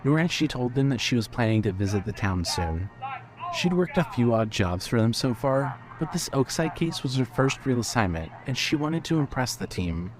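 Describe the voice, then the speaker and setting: A man narrating scripted fiction as a storyteller, in a measured manner. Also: mid-range voice, sing-song.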